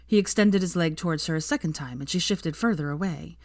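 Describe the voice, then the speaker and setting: Casting: woman audiobook narrator, low register, close and dry recording. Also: brisk, a little mellow, no room sound. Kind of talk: scripted fiction narration, audiobook reading.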